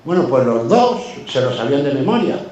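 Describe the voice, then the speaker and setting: A man's voice: medium pitch, very animated, a little fast, darkish tone, dull audio. A man with an accent from Spain telling anecdotes casually, in an effusive, colloquial way with swearing. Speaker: guest. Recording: very echoey room, distant mic, faint noise.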